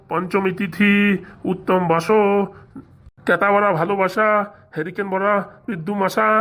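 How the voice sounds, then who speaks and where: A woman's voice: mid-range voice, a bit bright, close to an everyday voice. A woman voicing a greedy, eager male village priest in a comic cartoon, wheedling in a rural Bengali accent.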